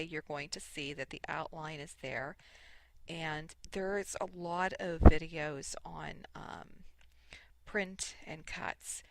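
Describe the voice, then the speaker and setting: A sniffly woman hosting a casual, unscripted craft software tutorial, thinking aloud in short, halting steps. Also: low voice, gravelly, no echo. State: sniffly from allergies. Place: voice-over recorded indoors at a computer.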